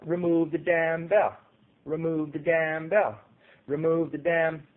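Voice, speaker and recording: Man acting as shrill, jeering storybook townsfolk. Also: flat delivery, slow, narrow, tinny audio. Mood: chanting. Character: angry, jeering townspeople mob, chanting and heckling.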